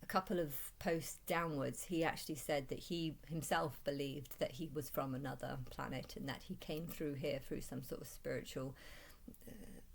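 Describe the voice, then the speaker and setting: A woman giving a rambling personal monologue, halting and often restarting phrases, with exasperation when she retells exchanges. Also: low voice, fairly even intonation, creaky, a little hiss or hum. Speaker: vlogger.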